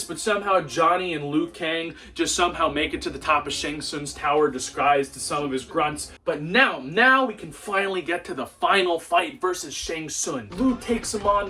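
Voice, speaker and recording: A young man delivering a comedic scripted movie review in an animated, exclamatory, sarcastic way. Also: sits high, recorded from a distance. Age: young adult. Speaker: video host, movie reviewer.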